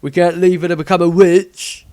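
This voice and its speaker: A man's voice: high-pitched, flat delivery, moderate pace, mellow, dry recording, pitched above the performer's own voice, close to an everyday voice. A man voicing an angry, stubborn rustic villager, blunt and indignant, in a fantasy storybook. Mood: defiant. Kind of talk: storybook character acting.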